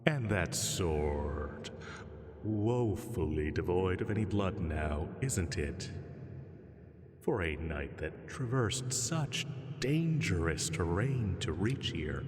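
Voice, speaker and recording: A man voicing an ancient dragon in an audio roleplay, menacing and smug, taunting his prey with deliberate, mock-pitying words. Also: sits low, lively intonation, a little mellow. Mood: mocking, condescending. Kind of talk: slow, intimate scripted roleplay monologue. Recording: cavernous long reverb.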